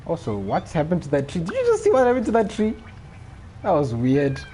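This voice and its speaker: A man's voice: on the high side, wildly swooping pitch, fairly quick, warm tone, a little hiss or hum. A man chatting casually on a gaming livestream, rambling and repeating himself as he goes. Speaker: live streamer.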